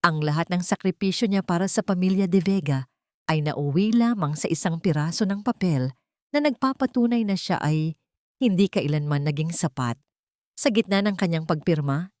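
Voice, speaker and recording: A woman narrates a scripted drama audiobook. Her voice sits a little low for a woman. The delivery is story-like and dramatic, and the recording is very dry. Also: darkish tone, no echo.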